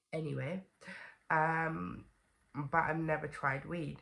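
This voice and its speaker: A woman's voice: low-pitched, gravelly, fairly bright tone. Stuffy-nosed young woman, chatty. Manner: chatty, rambling, many fillers and "okay?" asides. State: stuffy, congested from a cold. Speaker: vlogger. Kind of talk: casual storytelling to camera.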